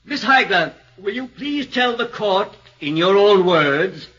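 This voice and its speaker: An actor playing a formal prosecutor putting deliberate questions to a witness in a 1940s radio play. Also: male voice, high voice, animated, bright, forceful tone, exaggerated voice. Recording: dull lo-fi old radio broadcast.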